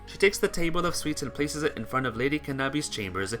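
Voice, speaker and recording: A man narrates a written tale in a bright, clear-cut voice. He reads in storybook fashion, now and then tripping on a word. Faint instrumental music plays behind him. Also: a little high, animated, fast. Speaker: narrator reading a visual novel aloud. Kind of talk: scripted story narration with acted dialogue.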